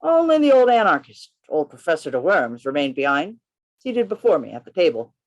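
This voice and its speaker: An actor in a radio play reading, playing an undercover policeman who tells his own story in a deliberate, theatrical manner. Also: medium pitch, very animated. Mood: measured narration. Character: Gabriel Syme, tense undercover policeman telling his story. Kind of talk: dramatic first-person radio play narration. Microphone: video call.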